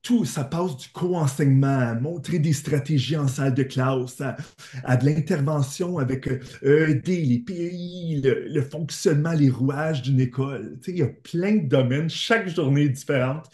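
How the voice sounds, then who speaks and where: Un homme dans la quarantaine, originaire de l'Est ontarien, à l'ontarien, invité qui raconte son parcours avec sincérité et enthousiasme, par appel vidéo. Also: male voice, fairly high pitch, normal speed, darkish tone, roomy sound. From Eastern Ontario, Canada. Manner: earnest, enthusiastic storytelling. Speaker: teacher, guest. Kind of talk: interview answers. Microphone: video call.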